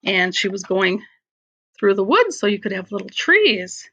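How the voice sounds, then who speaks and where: Very animated woman teacher, slightly dull recording. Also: medium pitch, measured pace. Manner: expressive, encouraging children to imagine. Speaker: elementary school teacher. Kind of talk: casual instructional talk to children.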